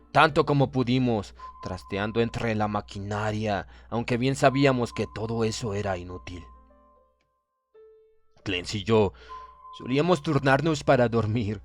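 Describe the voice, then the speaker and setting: Man narrating a horror audiobook over music. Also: fairly low pitch. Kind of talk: dramatic scripted narration of a horror story. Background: background music.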